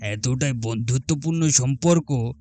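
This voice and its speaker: A man playing a mysterious, calm gentleman ghost who tells his tale formally in a grave, resonant voice in a horror story. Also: mid-range voice, a little slow, lightly acted. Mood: calm, ominous. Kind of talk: Bengali horror audio story acting.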